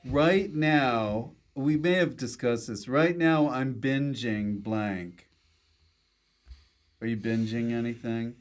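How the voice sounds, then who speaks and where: A man's voice: mid-range voice, slow. A man hosting a casual comedic interview podcast, giving short, playful prompts. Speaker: podcast host.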